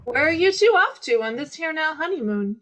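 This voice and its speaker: Radio drama: female actor as a nosy, folksy cabbie, bright, forceful and very animated. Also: high-pitched, fairly quick, slight background noise. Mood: curious. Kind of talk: amateur radio play acting.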